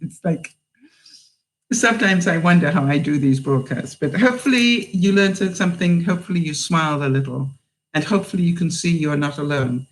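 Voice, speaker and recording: A woman in her seventies, speaking with a British accent softened by years abroad, hosts a live stream and talks to her viewers. She talks slowly and haltingly, with plenty of "you know" fillers, and sounds a little tired. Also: sits low, poor audio. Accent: mixed British. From England. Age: 70s. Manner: unhurried, halting, with many fillers. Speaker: livestream host.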